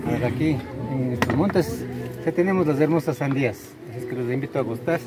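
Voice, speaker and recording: A man's voice: medium pitch, brisk, a loud crowd. A man, hosting a casual outdoor travel vlog in a tour-guide style. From Ecuador. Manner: upbeat, tour-guide style, inviting viewers. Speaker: vlogger host. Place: rural riverside hills outdoors. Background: music.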